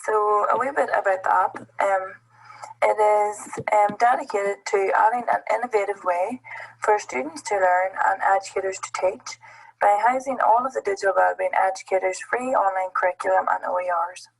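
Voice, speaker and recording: A Northern Irish woman presents an app in an online webinar over a video call. Her voice has a gravelly, fry-tinged edge, and she pauses often with fillers as she guides listeners through menus. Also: mid-range voice, slight background noise, poor audio. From Northern Ireland. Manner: hesitant, many fillers. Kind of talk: webinar walkthrough.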